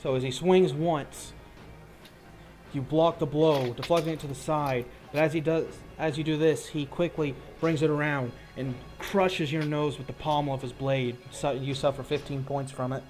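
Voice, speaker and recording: A man running a tabletop roleplaying game as game master, improvising measured, descriptive combat narration between rules calls. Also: a little high, fairly even intonation, darkish tone, faint music behind.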